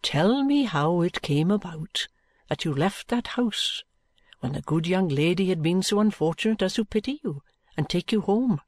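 A woman voicing an earnest, patient gentleman in an audiobook, with a gentle, deliberate, coaxing manner. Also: fairly low pitch, animated, no room sound. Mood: coaxing, urgent.

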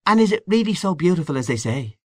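A man's voice: high-pitched, sing-song, fast, a little mellow, no echo, clean studio sound, pitched above the performer's own voice. A man voicing a modest, timid man in an audiobook, speaking in a reserved, earnest way. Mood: earnest, curious. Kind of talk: audiobook character dialogue.

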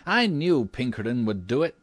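A man's voice: mid-range voice, big pitch swings, moderate pace, no echo, pitched above the performer's own voice. A man voicing a brash, confident young son in an audiobook, with an emphatic manner. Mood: triumphant. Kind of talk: audiobook character voice.

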